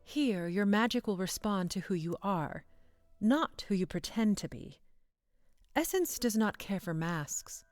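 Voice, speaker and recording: A woman voicing a calm, commanding professor of magic, measured, solemn and gentle but firm, in a fantasy story. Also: mid-range voice, no echo, soft background music, pitched above the performer's own voice, natural voice.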